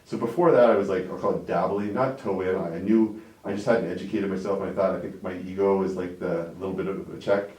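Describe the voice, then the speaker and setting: A very deep-voiced man in his forties, from Canada, chatting loosely as an interview guest. He rambles and keeps checking in with "right?" and "like". He sounds set back from the mic in an echoey room. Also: fairly even intonation, fast, few pauses, dark tone, far from the mic. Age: 40s. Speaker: interview guest, business owner. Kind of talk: casual interview talk.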